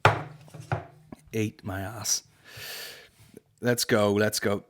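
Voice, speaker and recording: Male podcast host, 30s, Northern Irish, lowish voice, blunt and sweary, loose comic chat on close mic. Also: level tone, very slow, heavy background noise. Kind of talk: casual comic chat, answering listener questions. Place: small indoor podcast studio. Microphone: close studio microphone on boom arm.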